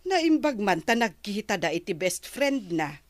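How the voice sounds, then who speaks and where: A woman's voice: medium pitch, very animated, unhurried, no echo. A woman with an Ilocano accent playing a curious, gossiping neighbour in a radio comedy drama. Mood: gossipy.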